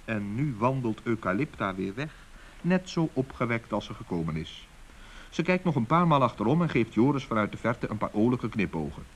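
A man narrating a children's radio play in a calm, scripted storybook style. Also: mid-range voice.

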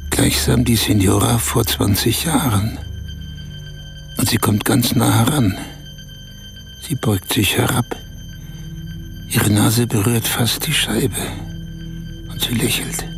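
A roguish, enraptured storyteller in a radio drama. He lingers on short emphatic phrases at a slow pace, with hugely varied pitch. Music plays clearly behind him. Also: wildly swooping pitch, full character voice. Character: Augusto, earthy, captivated male storyteller with roguish appetites. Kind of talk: radio play narration in present tense.

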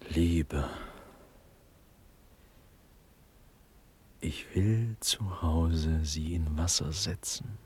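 An actor playing a dreamy, ardent young prince in a German classical radio drama, speaking in hushed, halting, breathy verse with sudden swells. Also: male voice, deep voice, exaggerated voice. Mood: tender, wondering.

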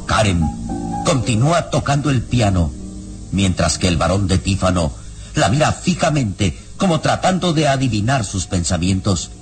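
Casting: male radio-drama narrator, very low pitch, grave and suspenseful, loud music underneath. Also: sing-song, normal speed. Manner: solemn, suspenseful storytelling.

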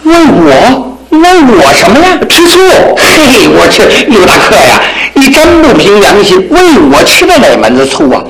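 An actor playing a flippant, comically indignant bachelor in a radio drama, blustering and repeating himself. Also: male voice, high voice, wildly swooping pitch, moderate pace, hardly any pauses, echoey room, full character voice, poor audio. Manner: blustering, repetitive.

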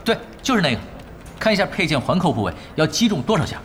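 A man playing a laid-back expert gamer who works at an internet cafe, offhand and calm, in an audio drama. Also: fairly high pitch, fast talker, slight background noise. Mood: nonchalant. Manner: nonchalant, offhand, calmly giving orders. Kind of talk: Chinese audio drama acting.

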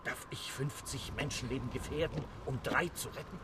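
An actor in a radio drama playing a stiff, dutiful fort captain with clipped military speech. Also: male voice, mid-range voice, normal speed, noisy background, lightly acted.

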